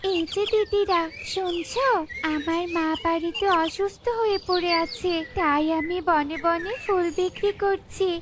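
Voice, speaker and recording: A woman's voice: extremely high pitch, steady tone, measured pace, noisy background. A woman voicing a pitiful little flower-selling girl who pleads meekly in a children's cartoon. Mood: pleading.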